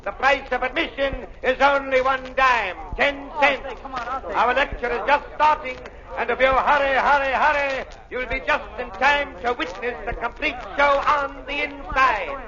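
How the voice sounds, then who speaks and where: An actor playing a loud waxworks barker hawking to a crowd, in 1930s radio style. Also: male voice, very high-pitched, unhurried, exaggerated voice. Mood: rousing showmanship. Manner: declamatory, hawking. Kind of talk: 1930s radio acting, showman's patter. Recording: dull 1930s radio recording, crowd noise.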